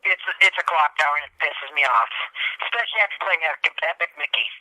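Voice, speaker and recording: Very bright, sharp-voiced American man. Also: fairly high pitch, quick pace, no room sound, low-quality recording. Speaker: podcast co-host. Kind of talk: casual comedic ranting.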